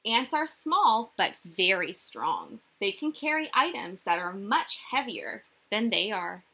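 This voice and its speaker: A young woman reads a children's picture book aloud as a teacher would. Her voice swoops expressively in a sing-song way, with a bright, forward tone and a slightly breathy, husky edge. She speaks directly to young listeners, inviting them to answer. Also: mid-range voice, big pitch swings, slow pace. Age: young adult. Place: small indoor room.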